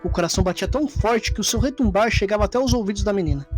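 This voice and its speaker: A woman narrates a scripted story in a dramatic style. Her pitch sits in the middle range, and faint music runs beneath her voice. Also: racing, darkish tone. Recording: music under the voice. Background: background music.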